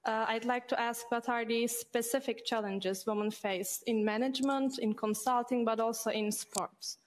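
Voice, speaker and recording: A woman's voice: on the high side, fairly even intonation, normal speed. A young woman moderating a panel discussion on a studio stage, reading friendly scripted introductions through a headset mic.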